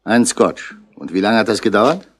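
An actor playing a dry, probing Paris police inspector in a 1960s German radio crime drama, questioning tersely. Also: male voice, lowish voice, animated, normal speed, breathy edge. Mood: dry, sceptical.

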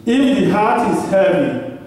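A man's voice: high-pitched, big pitch swings, darkish tone. A man in his thirties with a Nigerian accent, preaching a sermon at a pulpit into a handheld mic in a church hall. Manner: slow, emphatic preaching with long pauses. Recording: echoey church hall recorded from far off.

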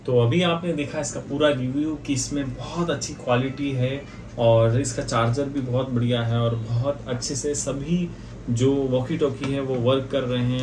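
Indian guy doing a casual unboxing review, mid pitch voice, mic kinda far away, noisy room. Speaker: video host reviewing products. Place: small indoor office. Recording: distant mic, clearly noisy room ambience.

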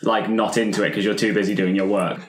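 Echoey, low-pitched man chatting. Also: level tone, fast, over a noisy crowd. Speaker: tabletop role-play player. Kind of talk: casual group chat about game characters. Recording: roomy, echoey group recording.

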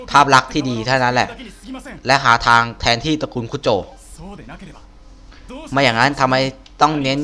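Guy reading young noble son from a game, earnest n defiant, bright voice, kinda halting. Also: medium pitch, lightly acted. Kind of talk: reading game dialogue aloud.

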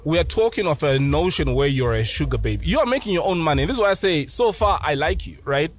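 A young man co-hosting a podcast who argues his points hard, speaking casually on a boom mic at an outdoor night set. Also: very animated, fast talker. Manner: argumentative, presses his points. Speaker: podcast co-host. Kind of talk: casual debate. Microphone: headphones and studio boom mic.

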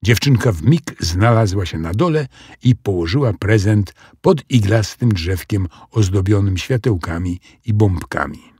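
Expressive low-voiced male storyteller. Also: slightly rough, no echo, studio quality. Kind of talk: scripted children's audiobook narration.

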